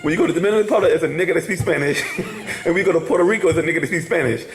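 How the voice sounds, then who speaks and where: A man's voice: fairly high pitch, rapid-fire, echoey room. A man teaching a casual class, rambling and laughing, often asking "you hear me?". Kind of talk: casual spoken class lecture.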